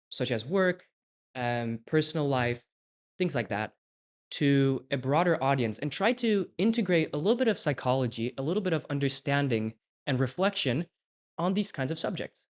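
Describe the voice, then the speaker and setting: A man in his twenties from Poland, co-hosting a podcast and being interviewed, talking in a reflective way and thinking aloud with frequent restarts. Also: on the high side. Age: 20s. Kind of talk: casual podcast conversation.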